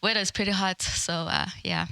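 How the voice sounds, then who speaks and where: A woman interviewing a guest in a studio, friendly, with quick affirmations. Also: mid-range voice, fairly even intonation, creaky, pushed and bright, clear, resonant tone, no echo. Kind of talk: casual interview. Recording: dry studio sound.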